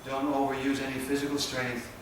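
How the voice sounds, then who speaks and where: A man's voice: medium pitch, flat delivery. Patient man teaching from across an echoey room. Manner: plain, patient explaining with pauses. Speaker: tai chi instructor. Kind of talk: spoken instruction while demonstrating. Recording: echoey, distant with background noise.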